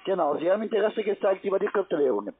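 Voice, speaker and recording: A man's voice: sits high, sing-song, racing, breathy edge. A man, working as a call center agent on a telephone call. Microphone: phone call. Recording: tinny narrowband phone line.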